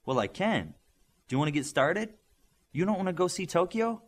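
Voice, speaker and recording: A man voicing an eager, modest young male superhero for an audiobook, with a casual, boyish, excitable manner. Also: high-pitched, moderate pace, voice pushed up. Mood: confident, helpful.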